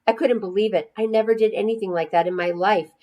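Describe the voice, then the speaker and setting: A woman's voice: medium pitch, steady tone, quick pace, darkish tone. A woman narrating a children's storybook expressively, as a storyteller reading aloud to young listeners.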